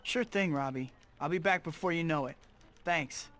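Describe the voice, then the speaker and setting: A man's voice: fairly high pitch, normal speed, fairly bright tone, faint music behind, close to an everyday voice. A young man playing an earnest, wisecracking student hero in a video game, casual and quippy. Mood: friendly. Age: young adult.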